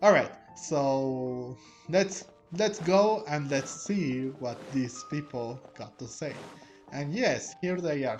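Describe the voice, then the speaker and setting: A man doing casual, rambling gameplay commentary as host, with halting delivery. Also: fairly high pitch, darkish tone. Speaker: host of a game playthrough channel. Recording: game music under the voice. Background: game music.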